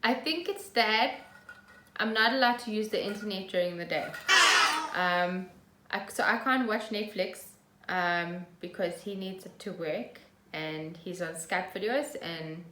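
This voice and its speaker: A woman's voice: on the low side, measured pace, bright, forceful tone. A woman chatting casually as co-host of a home podcast. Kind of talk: casual chat. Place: room at home. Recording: echoey room with background noise.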